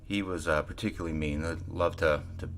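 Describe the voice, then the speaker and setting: Guy playing a stoic half-orc cleric, real deep, bit of gravel, flat and halting. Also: slight background noise. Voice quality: low and a little gravelly. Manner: halting, flat, many ums.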